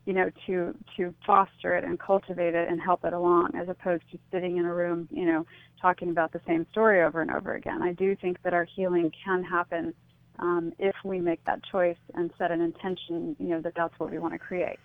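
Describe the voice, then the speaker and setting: A woman's voice: lowish voice, flat delivery, brisk, no echo. A woman speaking as an interview guest over a phone line, conversational and reflective. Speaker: interview guest, healing advocate. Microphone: phone call. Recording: narrow, tinny phone line.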